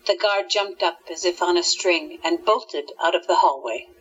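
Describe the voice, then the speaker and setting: Woman narrating a radio play, slightly low husky voice, bit dull lo-fi sound. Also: moderate pace. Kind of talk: scripted audio drama narration.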